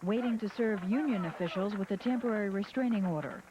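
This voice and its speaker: A woman's voice: mid-range voice, fast, mellow, lo-fi sound. A woman with an American accent narrating a scripted TV field report. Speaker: TV news reporter. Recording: clear background noise, archival TV audio.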